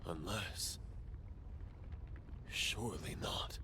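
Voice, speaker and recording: An actor playing a cold, grandiose villain in a video game, speaking formally and with menace. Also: male voice, low-pitched, very slow, background noise. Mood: puzzled. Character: cold, grandiose male villain, scornful and ominous. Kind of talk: dramatic game voice acting.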